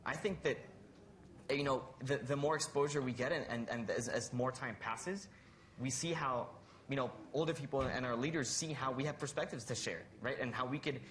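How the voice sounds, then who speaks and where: Young man, mid-pitched, thoughtful panelist. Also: normal speed, a bit bright, slight background noise. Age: young adult. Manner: thoughtful, frequently seeks agreement. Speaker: youth activist panelist. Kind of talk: panel discussion, conversational opinion. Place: live conference panel.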